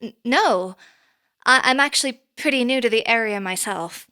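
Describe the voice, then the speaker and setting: A woman playing a courteous, businesslike manager in an audio drama, speaking in a formal, measured way. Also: a little high, bright, forceful tone. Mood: polite, interested. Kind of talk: naturalistic audio drama acting.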